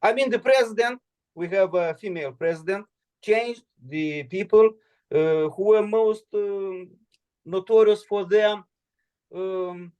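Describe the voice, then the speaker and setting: A man's voice: sits high, a little slow, darkish tone, smooth, rough recording. A man from Moldova, a native Romanian speaker with a Romanian accent, answering student questions in a hesitant way, full of fillers and restarts. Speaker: guest speaker.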